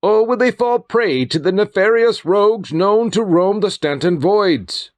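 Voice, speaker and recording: A high-voiced man works as a serial announcer in old-time radio style. He is theatrical and teasing, and the sound is dry and close. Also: lively intonation, a little slow, no room sound. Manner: theatrical, teasing rhetorical questions.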